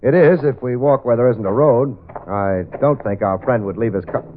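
An actor playing a brisk, matter-of-fact private investigator in a 1940s radio drama. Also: male voice, mid-range voice, a little hiss or hum, close to an everyday voice. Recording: tinny old radio broadcast.

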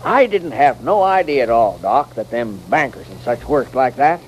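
A man as a lively, folksy frontier saloon keeper. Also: medium pitch, moderate pace, lo-fi sound, lightly acted. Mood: impressed, folksy. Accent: American. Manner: rustic, colloquial Old West drawl. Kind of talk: old-time radio western acting. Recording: noisy vintage radio recording.

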